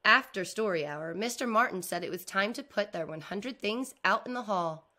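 A middle-aged woman reading a children's picture book aloud like a teacher, expressively and with deliberate enunciation. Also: mid-range voice. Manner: deliberate enunciation, animated for young listeners. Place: indoors, video recording.

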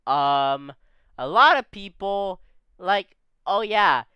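A man chatting casually as a YouTube reactor, excitable and rambling with lots of restarts, his voice a bit off from being sick. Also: sits high, slow, clean tone, dry recording, lo-fi sound. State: voice a bit off from sickness.

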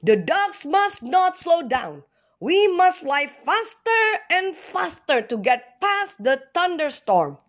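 A woman's voice: extremely high pitch, sing-song, slow pace, voice pushed up, exaggerated voice. A woman voicing a devout, fatherly male duck leading his flock, speaking earnestly in a children's storybook. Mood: urgent. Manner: earnest, instructive. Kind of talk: storybook reading.

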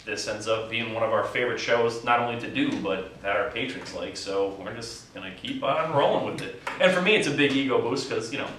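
A man's voice: mid-range voice, brisk, hardly any pauses, fairly bright tone, far from the mic. An American man in his thirties gives a casual interview in a very echoey room. He sits away from the mic, with faint noise behind him, and talks in a joking, mock-boastful way. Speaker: actor and writer being interviewed. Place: indoor theatre set.

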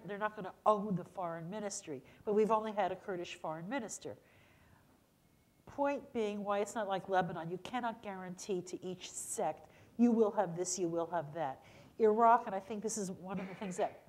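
A woman's voice: medium pitch, darkish tone. An older woman speaking emphatically as a panelist on a lecture hall stage. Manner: emphatic, explanatory. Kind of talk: panel discussion.

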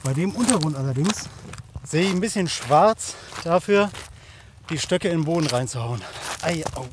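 A man's voice: fairly high pitch, animated, normal speed. A man talking casually and jokingly in colloquial language as he records a camping vlog outdoors on his phone. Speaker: camping vlogger. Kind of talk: casual outdoor vlog talk to camera and companion. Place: outdoors at a riverside campsite. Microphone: handheld smartphone. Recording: faint outdoor noise on phone audio.

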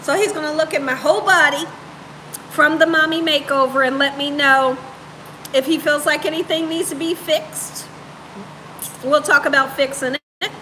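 A woman's voice: sits high, bright, forceful tone, a little hiss or hum, low-quality recording. A woman around her thirties or forties chatting casually and playfully with live viewers as host, repeating phrases for emphasis. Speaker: cooking show host on a livestream. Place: home room, indoors.

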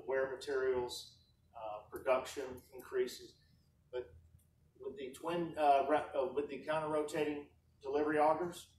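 A man, a product manager speaking on an industry panel, talking in a halting way with many fillers. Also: mid-range voice, a little mellow. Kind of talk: conference panel presentation. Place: conference hall, heard from far off. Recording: echoey distant hall livestream, faint noise.

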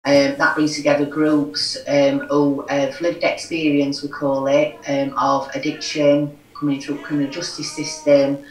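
A woman's voice: deep voice, level tone, unhurried, few pauses. A middle-aged woman with a broad Yorkshire accent, talking frankly and earnestly as an interview guest on a video call, full of "you know". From Yorkshire, England. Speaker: guest, recovery forum chair. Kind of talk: conversational interview. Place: home room. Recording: echoey room, far from mic.

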